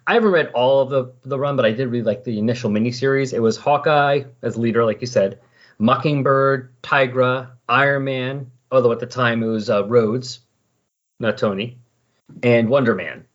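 A man with a husky voice chatting casually on a podcast, explaining and recapping in a rambling way. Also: mid-range voice, normal speed. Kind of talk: casual comic book discussion. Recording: dull lo-fi voice recording.

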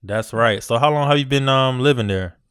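Smooth-voiced male podcast host, dry sound. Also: medium pitch, fairly quick, no room sound. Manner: relaxed, filler-heavy, friendly shout-outs. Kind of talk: casual podcast interview. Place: Virginia.

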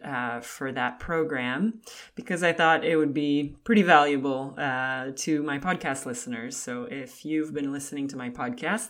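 A woman with a low-pitched voice, a touch raspy, hosts her own podcast alone. She talks in a loose, chatty way and laughs lightly between phrases. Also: a bit bright. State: slightly raspy voice. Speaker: podcast host. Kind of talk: casual solo podcast talk.

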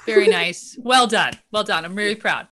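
A woman's voice: medium pitch, animated, normal speed, pushed and bright. A woman co-hosting an interview, asking questions in an encouraging, friendly way. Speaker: podcast co-host.

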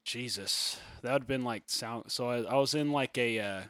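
A man in his thirties, a musician, chatting casually on a podcast in a soft, fairly high voice, rambling with lots of fillers and restarts. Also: moderate pace, no room sound.

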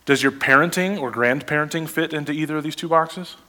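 Animated male pastor preaching. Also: on the high side, fairly quick. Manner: animated, rhetorical questions to the congregation. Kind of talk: sermon.

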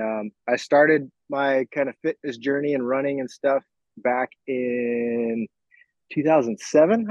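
An American man in his forties telling his own story in an informal interview, reflective and candid, halting as he picks his words. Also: medium pitch, a little mellow, no room sound, dull audio. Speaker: fitness coach, podcast guest.

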